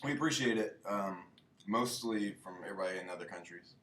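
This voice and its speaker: A man co-hosting a casual podcast with a squeaky, not very deep voice, cracking sarcastic jokes and giggling. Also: a little fast, fairly bright tone, a little hiss or hum. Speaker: podcast co-host. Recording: echoey room, a bit off-mic.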